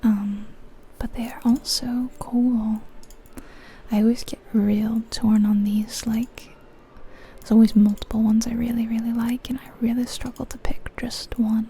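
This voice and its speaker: A woman's voice: on the high side, little pitch movement, measured pace, warm tone, slight background noise. A woman whispering in an ASMR style, musing to herself as she gives commentary on a video game. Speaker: ASMR creator.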